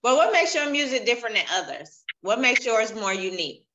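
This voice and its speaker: Young female host on a video call, animated and bright, encouraging and quick to affirm. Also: on the high side, lo-fi sound. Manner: encouraging, asks questions, affirms often. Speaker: interview host. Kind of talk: casual interview. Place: indoors in a room.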